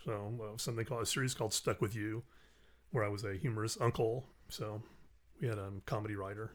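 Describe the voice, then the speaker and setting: An easygoing man chatting as a podcast guest, modest and a little self-deprecating. His voice sits fairly low and relaxed. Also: fast talker. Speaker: guest musician and actor. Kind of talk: casual interview chat.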